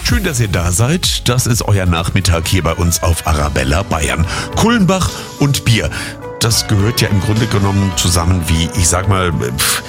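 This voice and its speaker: A male afternoon radio host with a deep voice and very animated intonation. He presents casually and chattily over a music bed. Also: normal speed. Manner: chatty, addresses listeners informally.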